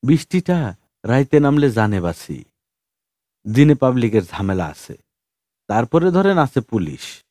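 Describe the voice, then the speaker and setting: A man's voice: mid-range voice, big pitch swings, measured pace, pauses often, warm tone, no echo, studio quality. A man voicing a huge, naively earnest street hustler in an audiobook, speaking colloquial rustic dialect in a respectful, pleading way. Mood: earnest, worried.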